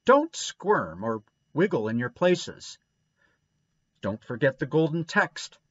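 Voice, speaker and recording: Man doing a bossy older woman, stern, raised pitch, listing orders, lo-fi storybook reading. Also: sing-song, takes it slowly, a bit bright, husky, exaggerated voice. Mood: scolding. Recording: dull lo-fi recording.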